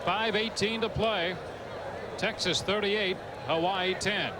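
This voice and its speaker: A man's voice: high-pitched, fairly even intonation, takes it slowly, noisy background. An American man calling live football play-by-play as a television sports announcer. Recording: loud crowd, music, 1990s sports broadcast. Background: loud stadium crowd and band music.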